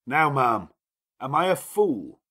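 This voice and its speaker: A man voicing a gruff, commanding, teasing middle-aged gentleman with elaborate, sardonic phrasing in an audiobook. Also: mid-range voice, very animated, takes it slowly, pitched above the performer's own voice, exaggerated voice. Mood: sardonic, challenging. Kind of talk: dramatic audiobook character dialogue.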